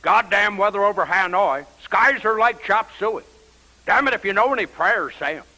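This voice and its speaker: A man's voice: high-pitched, expressive, normal speed, sharp tone, exaggerated voice, rough recording. An actor playing an angry male president who swears and blusters over lunch, in a radio drama. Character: profane, angry male president in the Oval Office. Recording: radio play recording.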